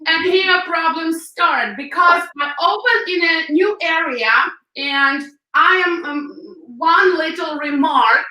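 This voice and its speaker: Ukrainian-accented woman guest, high bright voice, echoey, a bit off-mic on a call. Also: slow pace, far from the mic. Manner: fillers and non-native grammar, laughs often. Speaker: guest, hairstylist. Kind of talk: interview answers, telling her story.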